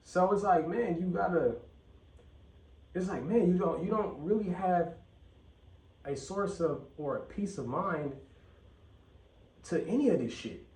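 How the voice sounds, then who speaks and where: A man rants casually on his vlog, swearing freely and hammering his points by repeating them. His voice sits somewhat high. The sound is roomy and echoing, caught from a few steps away. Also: lively intonation, measured pace, long pauses, darkish tone. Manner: blunt, profane, repeats phrases for emphasis. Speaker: channel host. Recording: echoey room, mic at a distance.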